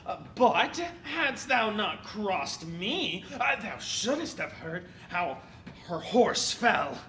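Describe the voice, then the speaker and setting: A man playing a garrulous, put-upon comic servant in a Shakespearean audio play, speaking theatrically with halting hesitations. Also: high voice, very animated, pushed and bright, exaggerated voice. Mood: aggrieved storytelling. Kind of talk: Shakespearean stage-style audio play acting.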